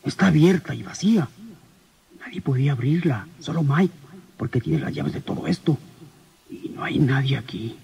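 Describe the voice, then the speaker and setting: A man's voice: mid-range voice, sing-song, normal speed, vocal fry, darkish tone, husky, exaggerated voice. An actor playing a nervous gangster henchman who mutters agitatedly to himself in an old radio serial. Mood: puzzled, uneasy. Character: nervous gangster henchman, fearful of his boss. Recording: noisy old radio drama recording.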